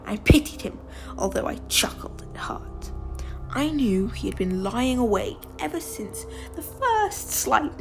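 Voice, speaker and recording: Woman acting a deranged, whispering gothic murderer. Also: mid-range voice. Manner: theatrical, often dropping into breathy whispers. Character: deranged, gloating murderer confessing while insisting on sanity. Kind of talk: dramatic gothic story reading.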